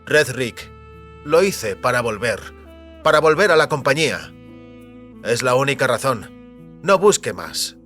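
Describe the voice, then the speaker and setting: A man playing a determined, defiant technician who is tired of being used, speaking firmly and deliberately in an audio drama. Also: medium pitch, big pitch swings, fairly bright tone, faint music behind. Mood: firm, insistent. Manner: firm, deliberate, insistent.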